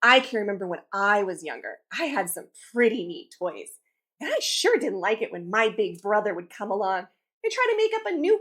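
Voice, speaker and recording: A woman hosting a children's read-aloud show, speaking to kids in an expressive, instructive, scripted way. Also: a little high, breathy edge.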